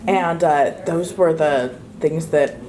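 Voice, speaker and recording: A woman's voice: sits low, slow pace, crowd noise. A woman sharing informal spoken feedback with a group. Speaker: former cast member giving feedback. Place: indoor gathering in a home.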